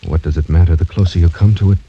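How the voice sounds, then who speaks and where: An actor playing a proud, doomed young French gentleman in formal, courtly, brooding old-time radio drama style. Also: sits low, steady tone, fast talker, breathy edge. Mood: sorrowful, resigned. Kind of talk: 1940s-50s American radio drama acting. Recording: dull, muffled old radio broadcast recording.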